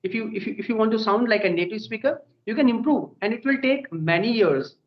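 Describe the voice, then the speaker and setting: A man's voice: high-pitched, brisk. A young man with an Indian accent talks through a casual English conversation, earnest and full of little "okay" check-ins. The sound is dull and muffled, with a noticeable echo from the room around him. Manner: earnest, peppers speech with "okay" and "you know". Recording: dull echoey room recording.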